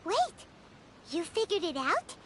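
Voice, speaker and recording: Tiny fairy sidekick, game character, extremely high squeaky childlike voice, excitable, inquisitive, wildly swooping intonation. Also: female voice, a little slow, slight background noise, exaggerated voice. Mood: puzzled, curious. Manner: blunt, exclamatory, inquisitive. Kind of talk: English video game voice acting.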